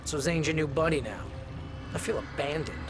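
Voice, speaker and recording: An actor playing a needling imaginary best buddy in a game, chatty, sardonic and theatrical. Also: male voice, on the high side, moderate pace, gravelly, over music. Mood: sulky, mocking. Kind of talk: comic video game acting.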